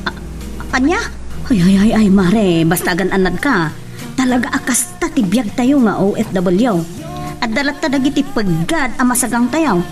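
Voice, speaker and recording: A woman with a Filipino accent acting an excitable, gossipy, protective friend in a radio drama. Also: on the high side, normal speed, faint music behind, natural voice. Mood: alarmed.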